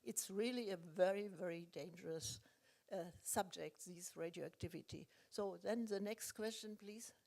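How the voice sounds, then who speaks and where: A woman with a German accent and a mid-range voice moderates a panel. She speaks insistently off the cuff, in halting English as a second language. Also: mid-range voice, vocal fry, a little mellow. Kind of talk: impromptu advice to an audience. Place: indoor conference.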